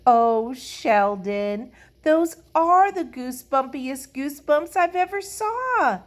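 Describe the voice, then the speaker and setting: A woman's voice: medium pitch, expressive, a little slow, pitched above the performer's own voice. A woman voicing a vain, bossy little schoolgirl in a storybook, with a gushing, self-important, emphatic manner. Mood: gushing, pleased.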